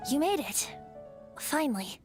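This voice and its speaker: A woman's voice: high voice, sing-song, unhurried. A woman voicing a cheerful, whimsical boyish bard who is secretly an ancient wind spirit, in a video game. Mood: playful, sheepish. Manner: whimsical, lilting, theatrical storytelling. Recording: music behind the voice. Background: game music.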